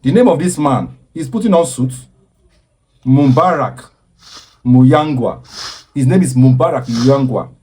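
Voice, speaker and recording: A man's voice: mid-range voice, expressive, measured pace, pauses often, warm tone, slight background noise. A man giving impassioned social commentary in an earnest, preaching way, often stopping to repeat himself.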